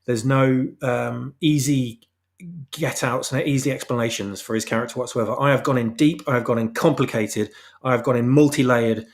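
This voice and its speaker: Halting, emphatic man explaining his own story. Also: mid-range voice, steady tone. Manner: halting, emphatic, repeating phrases for stress. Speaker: author, interview guest. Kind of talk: casual explanatory chat about own story.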